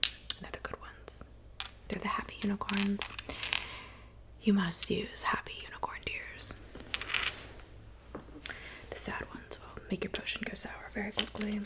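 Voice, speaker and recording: A kindly, slightly fussy witch of the woods, played by a woman, speaks in a soft, breathy whisper. Her pitch sits in an average female range. She is gentle, attentive and unhurried, as suits an ASMR potion-making roleplay. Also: little pitch movement, lightly acted. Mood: gentle, busy. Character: kind, fussy woodland witch mixing love potions.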